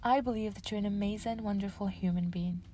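Female podcast host, early 20s. Earnest, encouraging motivational read, mid-pitched, over background music. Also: steady tone, brisk, mellow. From Casablanca, Morocco. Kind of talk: scripted motivational podcast narration.

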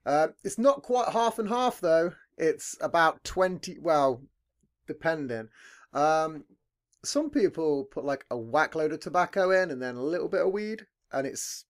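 Male podcast host, deep heavy voice, lively swooping pitch, relaxed rambling delivery with casual swearing. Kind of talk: casual chat.

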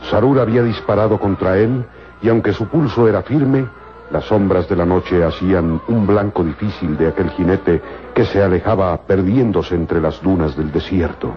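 A man's voice: low-pitched, normal speed, close to an everyday voice. A man narrating a scripted old-time radio adventure serial in a solemn, formal, dramatic way. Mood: dramatic, suspenseful. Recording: muffled old radio recording, loud music behind.

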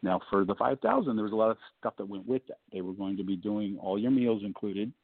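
Male guest with a deep baritone voice, loose and chatty, heard over a thin phone line. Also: expressive, quick pace, no echo. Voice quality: low baritone voice. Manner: rambling, joking, many fillers. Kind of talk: casual storytelling on a call-in show.

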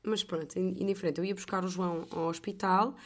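Woman, European Portuguese accent, podcast host, chatty, storytelling, fairly low voice. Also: fairly quick. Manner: chatty, animated storytelling with laughs. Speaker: voice-over artist. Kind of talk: casual chat.

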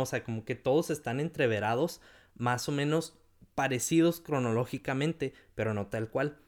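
A man with a Mexican accent in a casual film chat, animated, often quoting people in a raised voice. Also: mid-range voice. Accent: Mexican Spanish.

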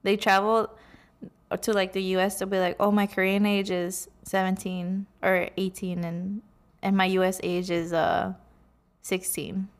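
A woman co-hosts a casual podcast, teasing her friend and handing out easygoing advice. She talks in a chatty, friendly way. Her voice sits in a middle pitch for a woman. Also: flat delivery, a little slow. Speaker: podcast co-host. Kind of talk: casual chat.

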